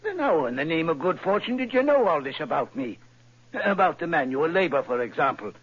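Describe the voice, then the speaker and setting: An actor playing a fumbling, plain-spoken middle-aged client in a 1940s radio drama from a dull old broadcast. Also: male voice, on the high side, very animated, brisk, fairly bright tone, husky, full character voice. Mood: flustered. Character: flustered middle-aged red-haired male client.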